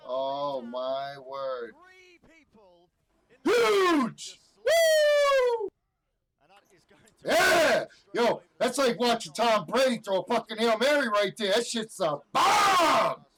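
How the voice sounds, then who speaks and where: A man on a headset mic, hyping up an esports match on TV, swearing a lot and shouting at big plays in a loud, deep voice. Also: huge pitch swings, very slow, long pauses, low-quality recording. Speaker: watch-along streamer. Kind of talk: excited game watch-along commentary. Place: home room with TV playing.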